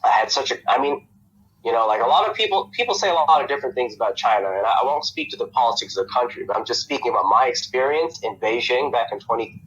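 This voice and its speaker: A man's voice: medium pitch, expressive, quick pace, fairly bright tone, breathy edge, highs cut off. A young American man, a podcast guest, talking casually in a rambling way with lots of fillers and restarts. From United States. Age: young adult.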